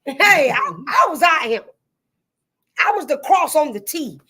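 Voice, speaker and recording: A woman's voice: sits high, big pitch swings, unhurried, bright, forceful tone. A woman speaking candidly and emphatically, with a preachy, repetitive cadence. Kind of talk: candid testimony-style chat.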